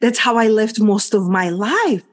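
A woman's voice: mid-range voice, expressive, unhurried. A woman with a Czech accent, a coach speaking as an interview guest in an earnest, effusive way with frequent "like" fillers. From Czech Republic. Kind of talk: candid interview chat.

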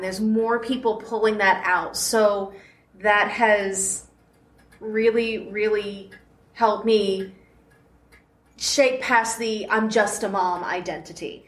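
Chatty laughing woman in a roomy hall. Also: mid-range voice. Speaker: podcast host, interview guest. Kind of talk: casual interview chat. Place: convention panel room. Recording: roomy echo, faint noise.

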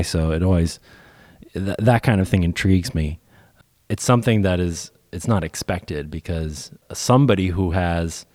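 Deep-voiced man with a cold hosting. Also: a little mellow, no echo, clean studio sound. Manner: easygoing, rambling with fillers. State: has a cold, voice deeper. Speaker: podcast host. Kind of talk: casual faith discussion.

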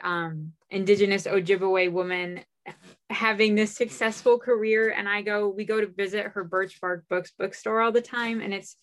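A woman's voice: medium pitch, fairly bright tone. A young woman in casual conversation, talking with enthusiasm and frequent fillers and restarts.

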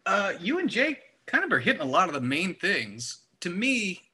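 Very bright, sharp-voiced man discussing music. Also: high voice, wildly swooping pitch, normal speed, slightly rough. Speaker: music review podcast co-host. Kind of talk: casual album discussion.

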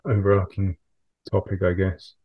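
Flat, low-voiced man, low-key. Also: normal speed, slightly rough, no echo, narrow, tinny audio. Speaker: podcast co-host. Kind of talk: casual podcast chat.

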